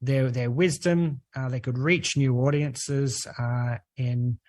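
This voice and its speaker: A man with an Australian accent, a guest on a talk show, speaking earnestly and relaxed, with many "uh" fillers. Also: medium pitch, slow, no room sound, studio quality. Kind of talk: podcast interview.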